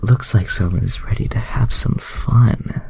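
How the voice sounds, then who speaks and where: A man's voice: on the low side, unhurried, creaky, a little mellow, slightly rough, pitched above the performer's own voice, exaggerated voice. A man voicing a flirtatious, confident mutant heroine, coy and teasing, for a fan fiction story.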